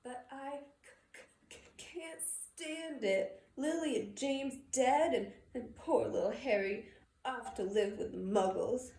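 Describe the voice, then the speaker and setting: A woman's voice: fairly high pitch, slow, a little mellow, distant mic, pitched above the performer's own voice. A woman voicing a giant, humble and tender-hearted wild man with a folksy way of talking in a storybook reading. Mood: sobbing, grieving. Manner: folksy, stammering when upset. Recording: echoey room with background noise.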